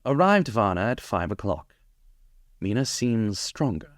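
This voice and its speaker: A man's voice: lowish voice, big pitch swings, unhurried, no room sound, clean studio sound. A man playing an earnest diarist, narrating journal entries with clipped, grave urgency in a radio drama. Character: earnest male diarist reporting a tense pursuit.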